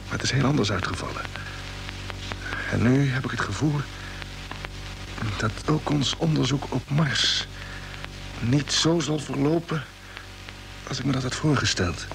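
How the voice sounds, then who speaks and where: A man's voice: mid-range voice, unhurried, vocal fry, pauses often, low-quality recording. A man acting an earnest, uneasy spaceship commander in a vintage radio serial, with a hesitant way of talking. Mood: uneasy, reflective. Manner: hesitant, repeats words when troubled. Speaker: radio drama actor. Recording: old radio play with music underneath.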